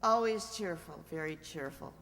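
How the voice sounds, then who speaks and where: A woman's voice: deep voice, normal speed, a bit bright. Woman playing a cheerful mind reader, reverberant hall. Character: cheerful, self-assured married female mind reader. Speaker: quiz show contestant. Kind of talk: old-time radio re-enactment.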